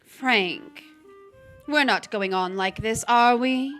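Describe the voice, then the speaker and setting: An actress playing a proud, restless young wife in a stage drama, defensive and sharp-tongued. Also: female voice, a little high, takes it slowly, soft background music. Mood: anxious, yearning. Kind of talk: stage drama performed online.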